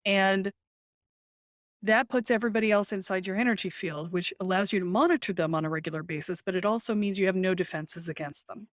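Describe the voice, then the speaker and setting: A woman speaks as a spiritual coach on a podcast, animated and emphatic, checking in with a quick "right?" and laughing easily. Her voice sits at a middle pitch for a woman. The sound is dry and close. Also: no room sound. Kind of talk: podcast interview.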